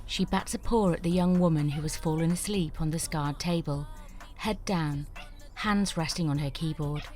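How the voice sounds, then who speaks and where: Woman narrator for screenplay reading, measured delivery, somewhat low voice. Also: a little mellow, soft background music. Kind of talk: scripted narration of stage directions.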